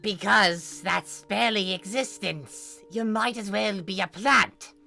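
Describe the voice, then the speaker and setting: A laid-back female serpent, voiced by a woman reader from a comic story. She speaks softly and casually, with frank, wry explanations and a bright tone over faint music. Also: mid-range voice, slow pace, vocal fry, pitched above the performer's own voice, heavily acted. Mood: wry, matter-of-fact. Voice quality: soft.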